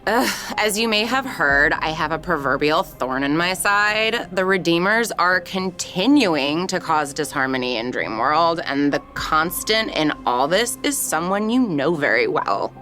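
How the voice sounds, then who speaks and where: A woman voices an imperious ice ruler in a fairy-tale audio drama. Her voice is fairly low but very expressive in pitch. She is haughty, impatient and commanding, with faint music behind her. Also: a little slow, hardly any pauses. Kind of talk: audio drama table read.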